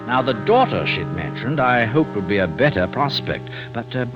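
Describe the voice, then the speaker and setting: An actor playing a refined, coldly cynical doctor with a secret, fussy and sardonic, in an old-time radio drama. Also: male voice, mid-range voice, big pitch swings, normal speed, lightly acted. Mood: smug, cold. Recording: muffled vintage radio recording with music.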